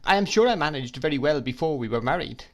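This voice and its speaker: A timid young mother who begs and trembles, her voice rising high and fluttering with tears, a man acting her out. The pitch swings widely as she pleads. A storybook figure, soft and easily frightened. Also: expressive, fast talker, dull audio. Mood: pleading.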